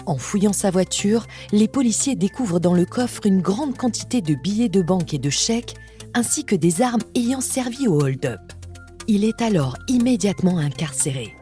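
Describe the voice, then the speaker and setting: A woman's voice: medium pitch, animated, moderate pace, warm tone. A woman reading a scripted true-crime story as a podcast narrator. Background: music.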